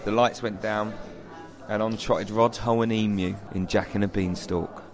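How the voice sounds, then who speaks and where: A man's voice: lowish voice, fairly even intonation, moderate pace, music in the background. A man from England co-hosting a theatre podcast, bantering and telling stories with mock-earnest drama. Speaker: podcast co-host, actor.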